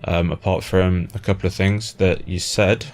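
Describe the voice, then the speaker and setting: A man's voice: low voice, level tone, normal speed, a little mellow, soft background music. A British man interviewing someone in an informal, chatty way, like a fellow fan. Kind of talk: casual chat.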